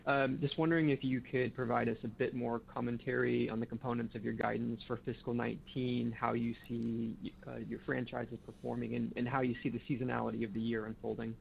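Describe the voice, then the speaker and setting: A male analyst asks a question on an earnings call. His voice is very creaky and flat in pitch, coming through a tinny, slightly noisy phone line. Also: fairly quick, hardly any pauses. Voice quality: creaky, gravelly.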